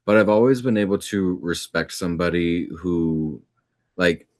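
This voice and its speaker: A young adult man with a deep natural speaking voice, talking as a voice actor guest in a casual interview, easygoing and reflective with plenty of fillers. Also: unhurried, dry recording, clean studio sound.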